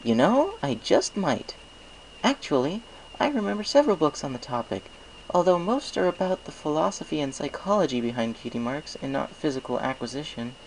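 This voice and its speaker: Man putting on a bookish pony woman, pitched up. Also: sing-song, fairly quick, gravelly, slight background noise, low-quality recording. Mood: intrigued, eager. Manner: precise, wordy. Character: bookish, studious female pony scholar, eager and polite.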